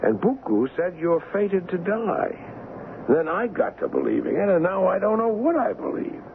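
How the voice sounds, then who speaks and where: Through a muffled, hissy old radio broadcast comes an earnest ship's doctor. He is firm and urgent, his pitch swinging expressively in seventies radio-drama style. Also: wildly swooping pitch, moderate pace, vocal fry, heavily acted. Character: earnest male ship's doctor, loyal friend, narrator. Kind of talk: 1970s radio drama acting.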